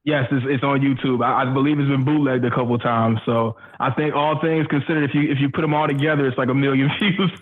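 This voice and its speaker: A man's voice: medium pitch, fairly even intonation, quick pace, few pauses. A man telling stories casually as a radio guest, in a slangy, self-mocking way. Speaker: radio personality being interviewed as guest. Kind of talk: casual animated storytelling. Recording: thin phone-like audio.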